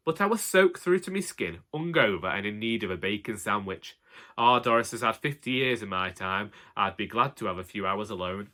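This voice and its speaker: Male actor as elderly henpecked husband, Northern English accent, bright forward voice, wry deadpan grumbling, comic audiobook storytelling. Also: lowish voice. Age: older. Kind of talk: comic scripted monologue narration.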